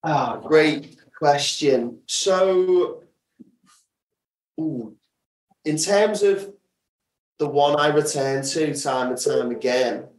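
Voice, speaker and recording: A man's voice: on the high side, very slow, long pauses. A man speaking as a guest in a casual interview, enthusiastic and emphatic, often repeating words for stress. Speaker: guest, audio drama creator. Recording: roomy echo, distant from the mic.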